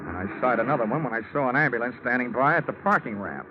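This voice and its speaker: An actor playing a wry, tough insurance investigator in 1940s radio drama style, with an American accent. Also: male voice, mid-range voice, expressive, quick pace, background noise, natural voice. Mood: wry, reflective. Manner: wry, hard-boiled wisecracking. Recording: muffled old radio recording, loud music.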